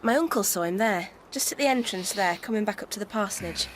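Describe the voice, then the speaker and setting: Female actor for radio play: candid Victorian village lass, mid-pitched, chatty and unaffected, faint background noise. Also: fast talker, close to an everyday voice. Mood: candid, helpful. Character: plain-spoken, friendly Victorian village young woman. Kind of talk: radio drama dialogue.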